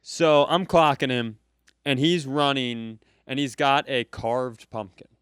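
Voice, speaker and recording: A man co-hosting a comedy podcast, telling stories in loose, profane, animated chat and laughing often. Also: medium pitch, slow pace, smooth, no echo. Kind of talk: casual storytelling chat. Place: comedy club, live.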